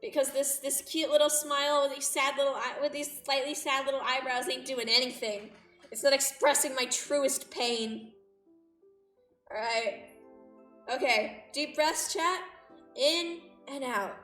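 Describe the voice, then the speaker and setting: A woman's voice: high voice, steady tone, measured pace, a bit bright. A woman livestreaming a visual novel, chatting and reacting with playful, exaggerated drama. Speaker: game streamer. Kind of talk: livestream chat and read-aloud of a visual novel. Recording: roomy echo, distant mic, music behind.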